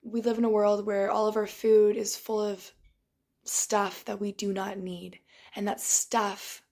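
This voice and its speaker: A young woman with a voice tired and strained from shouting. She talks in a casual, reflective monologue, halting and restarting and often clearing her throat. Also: mid-range voice.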